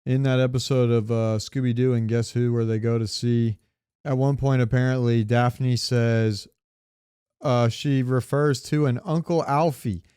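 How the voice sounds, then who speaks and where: A man's voice: mid-range voice, fairly even intonation, a little slow, mellow, clean tone, no echo, clean studio sound. A man co-hosting a podcast, chatting informally and with strong opinions about pop culture, swearing now and then. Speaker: podcast co-host. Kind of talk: casual pop-culture chat.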